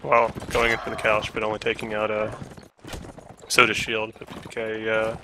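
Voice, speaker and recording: Flat-voiced man calling a game. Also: on the low side, moderate pace, noisy background. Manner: relaxed, hesitant, many "uh" fillers. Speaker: esports commentator. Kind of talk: live game play-by-play commentary.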